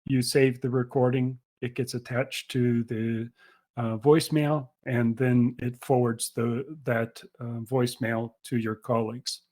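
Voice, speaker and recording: A man's voice: medium pitch, little pitch movement, a little slow, darkish tone. A man presenting a product demo webinar, explaining steadily with frequent ums and uhs.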